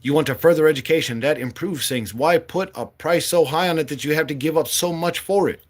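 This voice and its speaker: Blunt fired-up man, higher voice. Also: a little fast. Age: 40s. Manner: blunt, swearing, fiery. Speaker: political candidate. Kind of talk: impassioned monologue.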